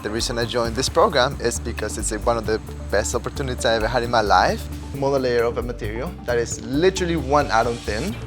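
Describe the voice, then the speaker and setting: Fast-talking young man. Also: mid-range voice. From El Salvador. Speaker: intern. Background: music.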